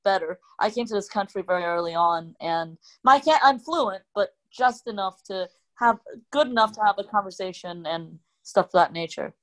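A woman whose first language is Cantonese, chatting casually as a guest, speaking in a hesitant, halting way. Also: medium pitch, animated, poor audio. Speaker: guest, channel creator. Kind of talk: casual conversation.

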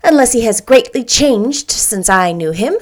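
A woman reading an audiobook, voicing a brisk, chirpy little woman near fifty who speaks emphatically. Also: fairly high pitch, animated.

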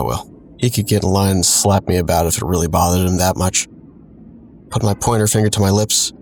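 Low-voiced, wry, sardonic narrator. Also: male voice, a little mellow, a little hiss or hum. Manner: sardonic, digressive. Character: wry, self-deprecating man narrating his reckless youth. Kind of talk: scripted first-person audio drama narration.

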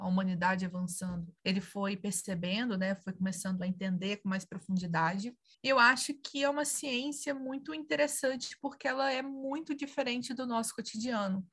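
A woman's voice: mid-range voice, fairly bright tone. A woman who is an astronomer, a guest on a podcast, explaining ideas casually and thinking aloud with small restarts.

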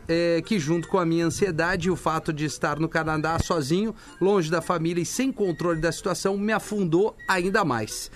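A man with a southern Brazilian Gaúcho accent, hosting live radio in a casual, conversational style. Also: sits high. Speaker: radio show host. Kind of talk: casual live radio chat and listener mail reading.

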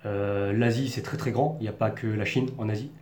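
A man's voice: lowish voice, fairly even intonation, fairly quick, a little mellow. A young man from the Paris region telling a personal story to camera in an earnest, reflective way, searching for his words. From France, Paris region. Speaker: vlogger. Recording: echoey room.

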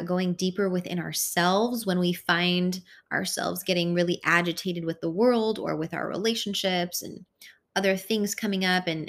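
A woman's voice: lowish voice, fairly bright tone. A woman whose first language is Hungarian, hosting an unscripted spiritual podcast and rambling in a self-aware way with frequent fillers. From born in Italy, raised in the United States. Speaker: podcast host. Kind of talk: unscripted solo podcast monologue.

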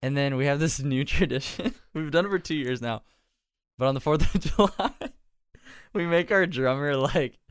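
Young male musician, smooth clear voice, dry close sound, excitable storyteller. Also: fairly high pitch, moderate pace, dry recording. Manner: laughs through his stories. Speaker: touring band musician. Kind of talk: casual podcast chat.

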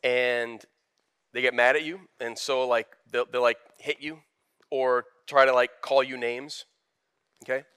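A man's voice: mid-range voice, fairly even intonation, unhurried, sharp tone, smooth. A man preaching a sermon as pastor, candid and conversational, building to emphatic rises.

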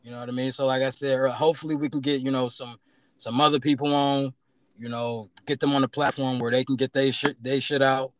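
A man's voice: medium pitch, little pitch movement, brisk, no room sound. A man hosting a podcast in casual interview chat, laid-back and full of fillers. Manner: laid-back, many fillers and "you know what I'm saying". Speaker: podcast host.

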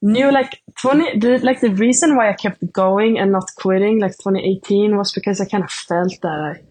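Young Swedish woman, halting casual answers. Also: medium pitch, darkish tone, breathy edge, low-quality recording. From Sweden. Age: 20s. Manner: hesitant, many fillers like "like" and "I don't know". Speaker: athlete guest.

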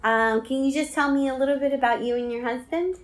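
A woman's voice: sits high, fast talker. A woman hosting an interview show in a conversational style, with an encouraging, affirming manner. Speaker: podcast host interviewer. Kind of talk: warm-up intro and conversational interviewing.

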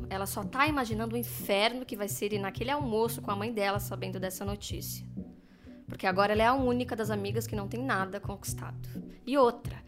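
Chatty, worrying Brazilian woman played by an actress, mid-pitched, conversational audio drama with prominent music underneath. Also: natural voice. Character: gossipy, worrying, sympathetic inner voice of a woman.

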